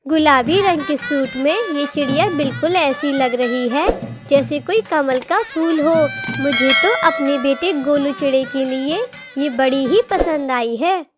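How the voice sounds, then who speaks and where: A woman's voice: high-pitched, unhurried, over loud music. A woman voicing a kindly, well-off older mother bird in a moral-story cartoon. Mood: admiring.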